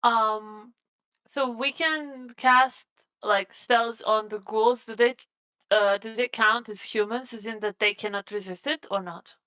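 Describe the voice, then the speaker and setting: A woman's voice: fairly high pitch, steady tone, a little slow, bright, forceful tone, clear, resonant tone. A woman playing a tabletop game, talking casually and asking questions to check the rules.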